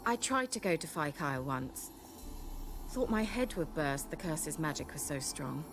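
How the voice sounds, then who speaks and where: An actress playing a vain, sharp-tongued sorceress in a video game, speaking in a haughty, wry, coaxing manner. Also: female voice, sits low, very animated, normal speed, noisy background. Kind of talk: video game cutscene acting. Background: game soundtrack music.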